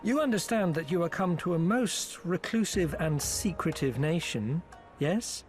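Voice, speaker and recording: An actor playing a smooth-talking, foppish male merchant agent in a game cutscene, speaking in a theatrical, flowery, ingratiating way. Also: on the high side, expressive, a little slow. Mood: explaining. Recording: with background music.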